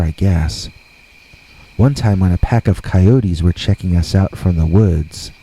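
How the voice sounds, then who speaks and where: A man narrating a scripted scary story deliberately, with dramatic pauses. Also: low voice, dark tone, slightly rough, rough recording. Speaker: host and narrator of scary stories.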